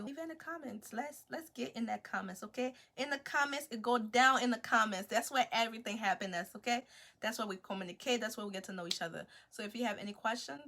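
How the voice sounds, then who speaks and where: A woman's voice: on the high side, a bit bright. A woman from Liberia, chatting casually and playfully to her viewers as a beauty vlogger, using slang and tagging phrases with "okay". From Liberia, West Africa.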